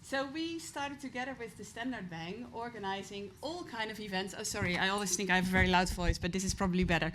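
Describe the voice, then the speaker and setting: A woman's voice: mid-range voice, fast talker, hardly any pauses, a crowd in the background. Heartfelt woman from the Netherlands speaking off the cuff. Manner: heartfelt, rambling. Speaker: government official giving remarks. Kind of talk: informal speech from the stage. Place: event hall.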